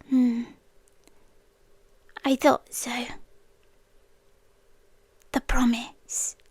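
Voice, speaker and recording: Woman acting a slow, high-voiced British inhuman girlfriend. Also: dry recording. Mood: tender, probing. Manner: soft, intimate, slipping often into whispers. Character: wistful, devoted inhuman childhood friend from the forest, yandere girlfriend. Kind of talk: ASMR roleplay. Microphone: close, dry studio-style microphone.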